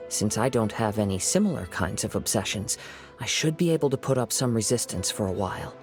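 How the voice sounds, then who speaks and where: An actor voicing a calm young wandering samurai in a game cutscene, speaking in a measured, earnest tone. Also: male voice, fairly low pitch, music in the background.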